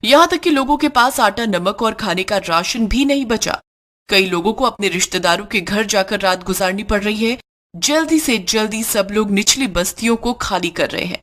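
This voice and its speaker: A woman's voice: mid-range voice, expressive, normal speed, fairly bright tone, natural voice. A woman voicing a sober news announcer inside a cartoon story, in a formal announcing manner. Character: sober news announcer reporting flood disaster. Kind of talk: news bulletin reading within a cartoon story.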